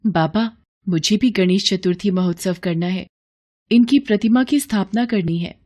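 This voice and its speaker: A woman's voice: mid-range voice, moderate pace, background noise, lightly acted. A woman voicing an innocent, devout young stepdaughter in a storybook tale, earnest, pleading and childlike. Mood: eager. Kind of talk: devotional storybook acting.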